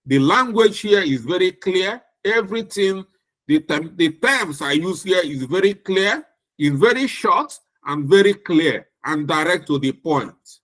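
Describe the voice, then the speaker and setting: A middle-aged man from Nigeria with a Nigerian accent, giving a recorded lecture in a slow, deliberate way with emphatic repetition. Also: sits high, lively intonation, fairly bright tone.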